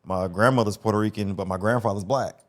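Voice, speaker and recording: Podcast guest: man in his forties, low voice, relaxed storyteller with street slang. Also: fast. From North Las Vegas, USA. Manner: laid-back storytelling, slangy, restarts phrases. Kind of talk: casual interview conversation.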